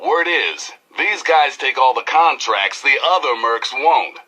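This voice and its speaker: An actor playing a cocky, flamboyant post-apocalyptic rebel radio DJ with an American accent and showy, slangy delivery in a video game. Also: male voice, medium pitch, animated, pushed and bright. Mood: wry, confiding. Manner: showy, slangy, drawn-out emphasis with howls. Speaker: radio DJ and newscaster. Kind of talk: theatrical radio DJ patter.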